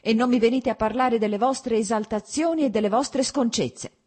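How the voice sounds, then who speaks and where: A woman's voice: darkish tone, lightly acted. A woman voicing an anguished, furious betrayed wife in an audiobook, with cutting, bitter delivery. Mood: cutting fury. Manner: shrill outbursts, cutting and hurried.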